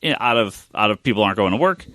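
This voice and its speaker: A man's voice: fairly low pitch, animated, racing, bright, forceful tone, dry recording. A man with an American accent in casual podcast discussion as a host, thinking aloud and repeating phrases as he goes. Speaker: podcast host, comic shop owner.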